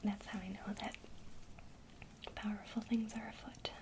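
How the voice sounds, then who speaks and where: A woman teaching a witchcraft lesson in a soft, gentle ASMR whisper. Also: medium pitch, flat delivery, measured pace.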